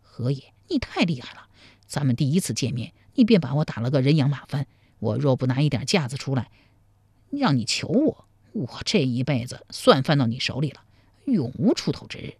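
A woman voicing a cheeky, lazy young man in a storybook reading, speaking low and soft. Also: big pitch swings, normal speed, husky, no echo, exaggerated voice. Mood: sly.

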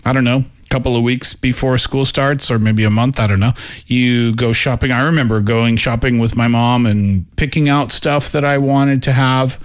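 A man from Canada, a podcast co-host, chatting casually in a relaxed, hesitant way with many fillers. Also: medium pitch, normal speed. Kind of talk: casual chat.

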